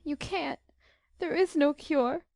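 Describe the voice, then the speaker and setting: A woman acts out a gentle teenage girl from a storybook, hiding her sorrow. Her voice is high, soft and quavering, and she speaks slowly and tearfully in a very dry, close recording. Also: steady tone, no room sound. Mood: tearful, despairing.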